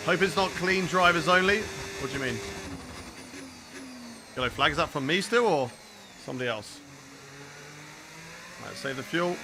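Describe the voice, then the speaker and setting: A young British man streaming a racing game, chatting casually and reacting aloud while answering viewers. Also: mid-range voice. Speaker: gaming streamer. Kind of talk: live gameplay commentary and chat replies. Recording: racing game engine noise behind. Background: clear racing game car sounds.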